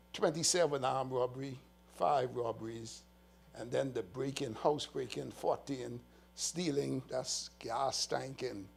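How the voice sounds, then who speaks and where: Bahamian male police official, mid-pitched gravelly voice, earnest and emphatic briefing, faint mains hum under it. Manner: earnest, emphatic, walks through slides with pauses. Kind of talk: press briefing presentation. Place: press conference room.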